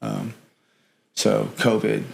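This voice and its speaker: Gravelly low-voiced middle-aged man. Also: level tone, slow, a little hiss or hum. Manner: rambling, lots of fillers and "you know". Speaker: podcast guest. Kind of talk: casual interview chat.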